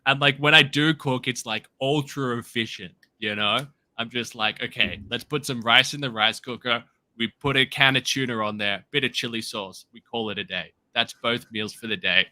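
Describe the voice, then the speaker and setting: A man chatting casually as a podcast guest over a video call, easygoing and quick to laugh. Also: mid-range voice, steady tone, pushed and bright, dry recording. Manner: laughs often, easygoing, lots of fillers. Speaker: guest content creator.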